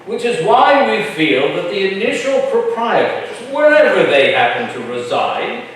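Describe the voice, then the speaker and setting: Man playing a pompous, high-voiced colonial magistrate. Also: big pitch swings, unhurried, a bit bright, exaggerated voice. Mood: self-important. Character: pompous chief magistrate, stumbling, self-important male colonial leader. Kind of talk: staged reading of a historical play. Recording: echoey room, far from mic, faint noise.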